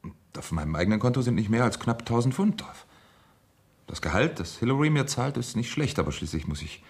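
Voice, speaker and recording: A man acting in a German radio crime drama as a nervous, evasive husband with a husky voice and a hesitant, explaining manner. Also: mid-range voice, very animated, brisk. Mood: defensive. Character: nervous, evasive kept husband, calculating former accomplice.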